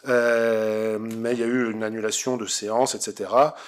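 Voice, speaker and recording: A man's voice: lowish voice, little pitch movement, normal speed. Hesitant Frenchman presenting a formal report. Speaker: city councillor. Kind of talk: formal council report, semi-improvised.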